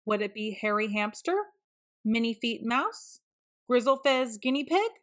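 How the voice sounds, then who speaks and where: A woman reads a picture book aloud for children. Her voice is bright and a little high, and she takes her time. She lands on key words with deliberate, dramatic emphasis. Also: lively intonation. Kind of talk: expressive storybook read-aloud narration.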